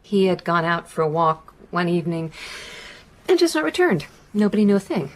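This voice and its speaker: American mom grieving her son, middle aged, kinda low voice, slow thoughtful storytelling for radio play. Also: big pitch swings. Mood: sorrowful.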